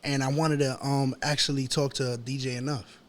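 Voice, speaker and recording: A man's voice: mid-range voice, flat delivery, moderate pace. A man from New York with a New York accent, a rapper telling stories casually and slangily as a podcast guest. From New York City. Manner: slangy, repetitive, peppered with "you know" and "yo". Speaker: hip-hop artist, podcast guest. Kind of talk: casual storytelling in an interview.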